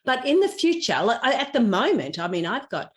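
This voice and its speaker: A woman's voice: fairly high pitch, sing-song, fairly quick. Chatty Australian woman, earnest and conversational. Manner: chatty and earnest, explains with everyday examples. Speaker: podcast guest, intuition teacher and digital marketer. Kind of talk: conversational interview answers.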